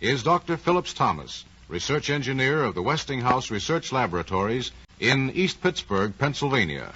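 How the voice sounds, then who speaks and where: A man's voice: medium pitch, big pitch swings, moderate pace, bright, forceful tone, slightly rough. A man with an American accent speaking as announcer and interviewer in a scripted 1940s radio program, with polished, folksy delivery. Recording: muffled, lo-fi 1940s radio recording.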